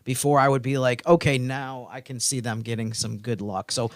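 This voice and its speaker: Male co-host, measured and reflective, dry studio voice. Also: mid-range voice, steady tone, dry recording. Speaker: team writer and co-host. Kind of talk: casual sports podcast chat.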